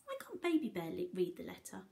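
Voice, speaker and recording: A woman's voice: fairly low pitch, huge pitch swings, fairly quick, vocal fry, warm tone. A woman teacher reading a picture book aloud to young children, expressively, with coaxing questions to the listeners. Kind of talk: animated picture-book read-aloud with asides.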